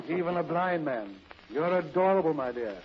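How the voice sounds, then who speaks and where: Gallant major played by an actor, muffled recording. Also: male voice, a little high, animated, normal speed, close to an everyday voice. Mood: gallant, amused. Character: gallant, flattering male major, old family friend. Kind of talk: 1930s radio acting. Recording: muffled 1930s radio recording, faint noise.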